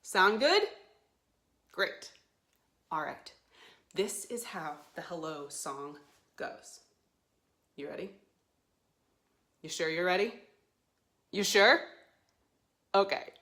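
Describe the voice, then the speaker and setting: Bright-voiced woman hosting children's storytime, echoey room. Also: fairly low pitch, takes it slowly, long pauses. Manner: animated, addressing young children with simple questions and encouragement. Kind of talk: children's storytime presenting to camera. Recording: roomy, echoey sound.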